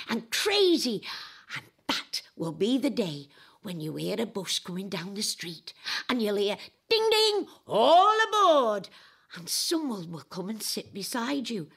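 An older woman playing a flamboyant, boozy, eccentric time-travelling adventuress in a dramatic audio monologue, confiding in a drawl with a husky, breathy voice. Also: on the high side, fairly bright tone, exaggerated voice.

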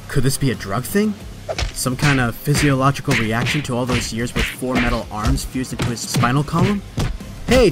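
An actor playing a young, wisecracking but stressed superhero in a comic dub, with a sardonic, conversational delivery. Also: male voice, mid-range voice, natural voice. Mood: tense narration, then cocky taunting. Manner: sardonic, conversational inner monologue. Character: young male superhero, wisecracking yet stressed and self-doubting. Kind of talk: dramatic comic dub acting.

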